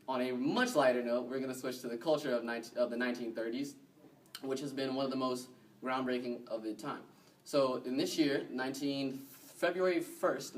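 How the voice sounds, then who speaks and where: A male teenager presenting a scripted school newscast report as a student, stumbling over words and correcting himself. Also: mid-range voice. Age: teens. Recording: noisy room, far from mic.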